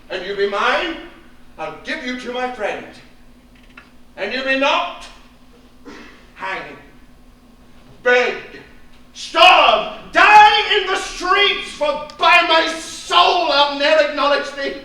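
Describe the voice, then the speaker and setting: Male actor as an enraged aristocratic father, slow and high-pitched, roomy stage sound. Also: exaggerated voice. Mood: threatening. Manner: swings from low menace to shouting tirades. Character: stern, raging aristocratic father, middle-aged man. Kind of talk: Shakespearean stage acting. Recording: echoey stage from far off, faint noise.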